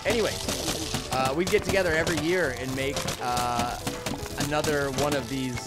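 Young male gaming host, slightly hoarse, playful and jokey, breaks into yelling; game music heavy behind him. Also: a little high, normal speed. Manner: goofy, jokey, bursts into loud yelled shouts. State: slightly hoarse from losing his voice. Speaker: gaming commentator. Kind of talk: casual gameplay commentary. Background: video game music.

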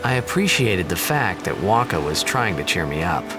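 A man's voice: low voice, sing-song, moderate pace. An actor playing an earnest, confused young male athlete in a video game, speaking in a hesitant, boyish way. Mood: reflective. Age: young adult. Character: earnest, confused teenage male athlete, stranded far from home. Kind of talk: video game dialogue and reflective narration. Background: music.

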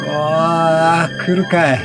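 A man hosting a let's play with excited, exclamatory commentary, often repeating words in bursts. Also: fairly high pitch, slow, over loud music. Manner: laughs often while talking.

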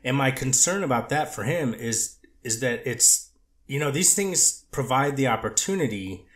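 A man reading the part of a treatment counselor testifying in court, hedging and halting with many restarts. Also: mid-range voice, lively intonation, a bit bright, reverberant, natural voice. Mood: measured. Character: earnest male counselor on the witness stand. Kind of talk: amateur readers theater reading of a court transcript.

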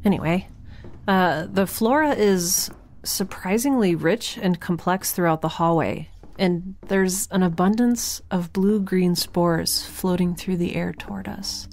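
A woman playing a nervous, wry young scientist who narrates a field log in a halting, conversational way for an audio drama. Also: on the low side, darkish tone. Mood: uneasy, observant. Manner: halting, self-interrupting, conversational.

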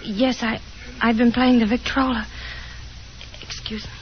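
Actress as timid, high-voiced young daughter, old radio. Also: female voice, flat delivery, a little slow, natural voice. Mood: shy. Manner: hesitant, stammering, timid. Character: painfully shy, anxious, fragile young daughter. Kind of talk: 1950s radio drama acting. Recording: muffled, noisy old 1950s radio broadcast.

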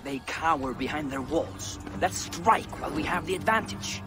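A man playing a high-voiced young lord. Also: expressive, normal speed, music in the background, close to an everyday voice. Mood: candid. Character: eager young Norse-Irish lord, deferential. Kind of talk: dramatic video game cutscene acting.

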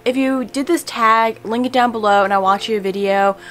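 A young woman in a casual book vlog, talking in a chatty, relaxed way with many fillers, recorded at home by a camera at desk distance. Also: fairly high pitch, moderate pace. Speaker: book vlogger. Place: home room in front of bookshelves.

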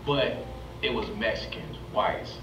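An emphatic, streetwise young man talks on a discussion panel. His voice comes from a video played into a roomy hall, heard far off with a clear hiss behind it. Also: on the low side, expressive, measured pace. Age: young adult. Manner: emphatic, streetwise, repeats "you know what I mean". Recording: video played in an echoey hall, off-mic, noisy.

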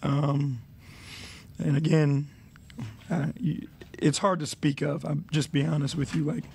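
Coach type, man in forties, gravelly, flat-toned, slightly high, measured answers on a desk mic. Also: a little hiss or hum. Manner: measured, many fillers, reflective. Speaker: head coach. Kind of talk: postgame press conference. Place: arena press room.

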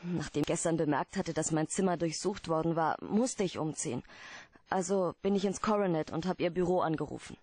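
Worried secretive client asking for help in a radio play, earnest, hesitant, really dry sound. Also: female voice, low voice, a little fast, dark tone, breathy edge, dry recording. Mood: guarded, explaining. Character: guarded, troubled female client pleading for help. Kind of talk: German radio play acting.

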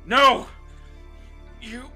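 An actor playing a frenzied, menacing man under mind control in an audio drama, shouting drawn-out threats. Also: soft background music, heavily acted. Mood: frenzied rage.

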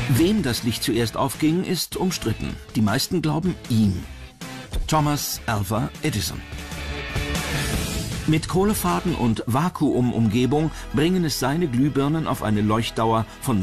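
A man from reading scripted documentary narration. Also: mid-range voice. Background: music bed under the voice.

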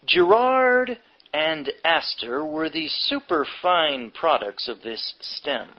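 Slow, emphatic man with swooping pitch. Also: expressive, dull audio. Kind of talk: reading a history book aloud with preachy asides.

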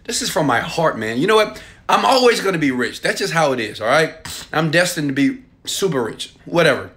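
A man in his thirties talks straight into a phone held at arm's length in a small room at home. His voice is bright and forceful and sits a little high, and he pushes his pitch with preachy, emphatic swings between loud bursts and quiet asides. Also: very animated, brisk. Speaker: online marketing coach. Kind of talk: selfie video sales pitch.

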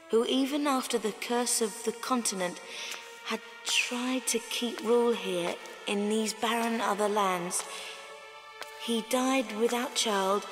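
Game heroine: composed, reverent reading, unhurried, long reverb with music behind. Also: female voice, on the high side. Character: composed young British adventuress reading inscriptions.

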